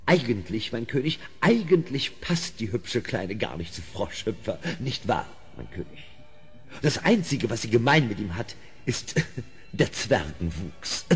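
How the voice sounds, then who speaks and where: An actor playing a malicious royal minister in a German audio drama, fawning, sneering and theatrical. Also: male voice, lowish voice, very animated, unhurried, husky, heavily acted. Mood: sly. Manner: obsequious to his master, spiteful, theatrical.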